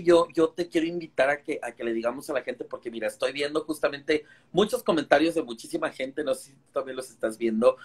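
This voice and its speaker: A man in his thirties talks earnestly over a phone video call. He lectures a little, filling his sentences with hesitations and restarts. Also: mid-range voice. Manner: earnest, preachy, many fillers and restarts. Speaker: guest on a live stream. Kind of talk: casual video call chat.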